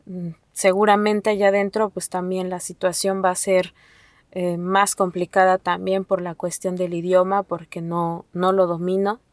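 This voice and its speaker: A woman from Mexico whose first language is Nahuatl, speaking haltingly with many fillers and restarts. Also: fairly low pitch, little pitch movement, no room sound. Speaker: asylum seeker. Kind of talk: testimony to an interviewer.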